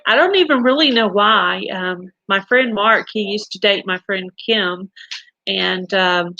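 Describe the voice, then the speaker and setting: A woman's voice: mid-range voice, unhurried, bright, forceful tone, no room sound, highs cut off. A middle-aged woman with a Texan accent, hosting a live stream and telling stories in a chatty way with fillers and restarts. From Texas. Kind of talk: casual chat reading viewer comments.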